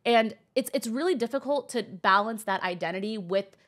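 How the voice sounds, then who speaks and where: A young woman hosting a casual interview show, talking fast and earnestly, her voice stuffy and nasal from a sinus infection. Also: a little high. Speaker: talk show host.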